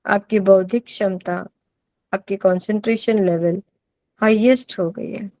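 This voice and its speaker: A woman with an Indian accent leads a guided meditation as a teacher, giving deliberate instructions and repeating phrases for emphasis. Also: mid-range voice, fairly even intonation, a little mellow, no echo, low-quality recording.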